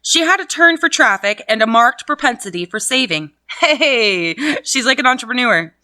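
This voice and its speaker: A woman's voice: a little high, expressive, normal speed, bright, forceful tone, no room sound. A woman reading a classic novel aloud at home, breaking off for casual, laughing, profane asides. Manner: laughs often, swears, chatty asides. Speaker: reader and host. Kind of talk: casual book reading with commentary.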